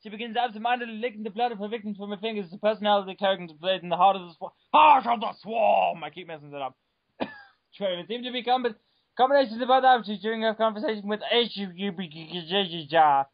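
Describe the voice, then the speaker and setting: High-voiced man hamming up a dramatic reading. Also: quick pace, dry recording, lo-fi sound. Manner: over-the-top, bursts into shouts, stumbles over words. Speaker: reader. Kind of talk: mock-dramatic reading of an article.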